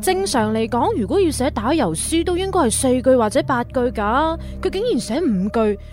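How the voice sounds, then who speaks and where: A woman's voice: sits high, animated, moderate pace, a little mellow. An actress playing a plucky, sarcastic young woman in a radio drama, speaking with a Hong Kong Cantonese accent. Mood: sceptical, teasing. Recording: music bed under the dialogue.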